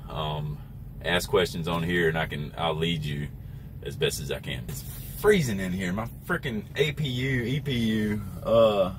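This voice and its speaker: A man's voice: sits low, fairly bright tone. A middle-aged American man, a truck driver, giving a casual, plain-spoken how-to while recording inside his truck cab. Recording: background noise in truck cab.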